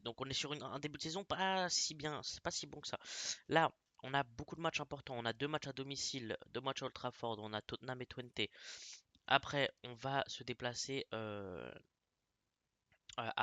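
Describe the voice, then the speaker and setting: A man's voice: medium pitch, pushed and bright, no room sound. A man from France with a cold, casually commenting on a football management game with lots of fillers and muttered asides. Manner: chatty, many fillers, drops to mutters while navigating menus. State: has a cold. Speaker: gaming YouTuber.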